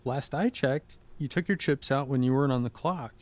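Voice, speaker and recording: A man's voice: medium pitch, normal speed, a little mellow, close to an everyday voice. A man playing a wary, earnest newcomer in an audio drama, questioning and defensive. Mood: defensive, disbelieving.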